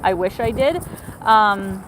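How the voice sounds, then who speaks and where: A woman's voice: mid-range voice, slow, background noise. Chatty woman in her thirties livestreaming outdoors. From Ukraine. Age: 30s. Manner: warm, grateful, chatty with frequent fillers. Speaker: travel vlogger. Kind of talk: casual livestream chat, reading viewer messages. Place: outdoors on a city bridge in winter.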